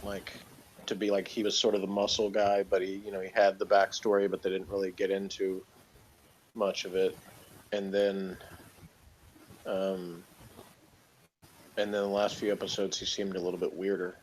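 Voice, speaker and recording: A man's voice: sits low, completely flat delivery, normal speed, long pauses, rough recording. Hesitant, meandering man in casual chat. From outside Britain. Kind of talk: casual unscripted TV review chat.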